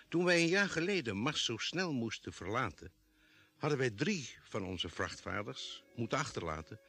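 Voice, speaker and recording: A spaceship doctor telling his story in vintage radio drama. His low voice is formal yet animated, with some music behind it. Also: slightly rough, full character voice. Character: spaceship doctor recounting a Mars expedition. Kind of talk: scripted narration in old Dutch radio drama.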